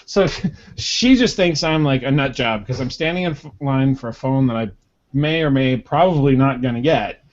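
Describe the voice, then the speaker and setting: A man's voice: medium pitch, normal speed. A man co-hosting a casual podcast, telling a rambling story and acting out the quotes. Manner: rambling anecdote with acted quotes. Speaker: podcast co-host. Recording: dull lo-fi podcast audio.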